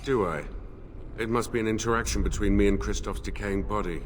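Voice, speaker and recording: A man's voice: on the low side, steady tone, soft background music, lightly acted. An actor playing a solemn spirit in a dead man's body, speaking formally and stiffly in a video game. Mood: formal, deadpan. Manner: formal, stiff, measured speech.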